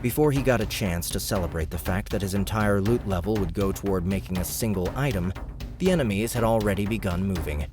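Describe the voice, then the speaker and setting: A man's voice: low voice, a little fast, music in the background. A man narrating an audiobook. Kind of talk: scripted narration.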